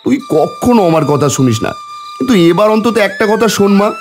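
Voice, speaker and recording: A dramatic village storyteller and father, acted by a man, with a fairly high voice that swings widely. He speaks expressively for a cartoon tale, with music underneath. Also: expressive, fast. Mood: pleading, scolding. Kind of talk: dramatic animated story narration and dialogue. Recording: music behind the voice.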